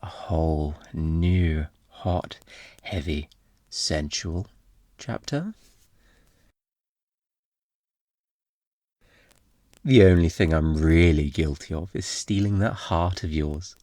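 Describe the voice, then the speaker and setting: A man playing an obnoxiously flirty, smug thief in an intimate ASMR roleplay, teasing in a playful, theatrical, drawn-out way. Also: rumbling low, dark tone, dry recording, clean studio sound. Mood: smug, seductive.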